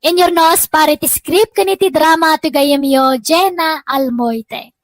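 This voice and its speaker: A woman's voice: high-pitched, slow, dry recording. A woman with a Filipino accent hosting and narrating a scripted radio-style drama. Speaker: host and narrator.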